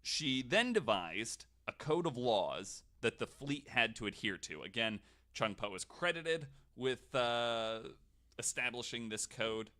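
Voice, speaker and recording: A man's voice: mid-range voice, lively intonation, takes it slowly, pushed and bright. A man hosting a podcast, casually telling a story from notes with wry, jokey asides.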